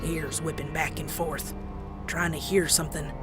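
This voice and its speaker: Woman voicing young Southern farm mare, anxious, folksy, horror comic dub storytelling, loud music bed. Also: deep voice, level tone, normal speed, close to an everyday voice. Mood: brooding, uneasy. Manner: folksy, uneasy. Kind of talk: dramatic first-person horror narration and dialogue. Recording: prominent music behind the voice.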